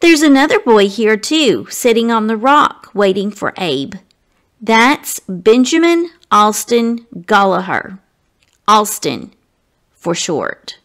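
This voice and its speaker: Female guest reader for children's storybook, unhurried, very lively intonation, speaks straight to young listeners. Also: mid-range voice. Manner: deliberate phrasing, addressing young listeners directly. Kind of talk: expressive children's storybook narration.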